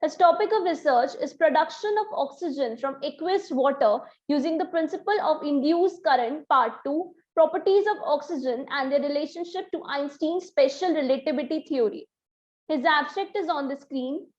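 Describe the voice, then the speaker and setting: Woman, high voice, formal conference moderator, muffled lo-fi online call sound. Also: normal speed, darkish tone. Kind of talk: formal scripted introduction.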